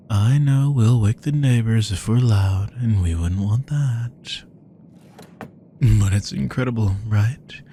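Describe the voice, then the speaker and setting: A man voicing a courteous, predatory male vampire who poses as a lab technician, speaking softly and teasingly and sometimes dropping to a whisper. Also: on the low side, a little hiss or hum. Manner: slow, soft-spoken, teasing, drops to whispers. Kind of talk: audio roleplay to the listener.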